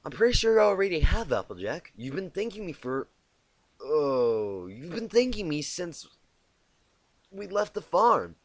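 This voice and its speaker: A man's voice: fairly high pitch, sing-song, a little slow, no echo, voice pushed up. A man voicing a kind, modest young female unicorn pony with a polite, friendly manner in a storybook.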